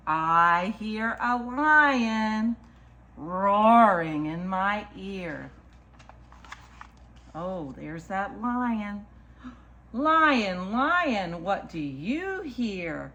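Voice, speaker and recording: Slow-talking woman reading to young children. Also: mid-range voice, sharp tone. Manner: slow, deliberate, singsong repetition; addresses small children directly with simple asides. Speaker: children's story time reader. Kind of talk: picture book read-aloud for young children.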